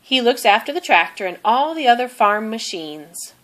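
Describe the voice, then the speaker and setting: A woman narrating a children's storybook aloud in an expressive, deliberate way. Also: a little high. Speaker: storybook reader.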